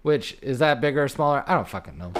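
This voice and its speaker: A man's voice: medium pitch, expressive, fast talker, a little hiss or hum. A young man chatting casually as a podcast co-host in a rambling, jokey way with some swearing. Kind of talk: casual comedic chat.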